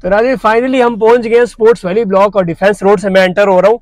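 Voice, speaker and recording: A man in his thirties from Pakistan chats to viewers from the driver's seat, pointing out roads and plots as he goes. He talks like a friendly salesman, and his voice sits a little high for a man. The sound comes from a lapel mic inside a moving car. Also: fairly even intonation, fast talker, darkish tone, faint music behind. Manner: chatty salesman tone, addresses viewers directly. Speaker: property dealer vlogger. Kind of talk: driving tour commentary.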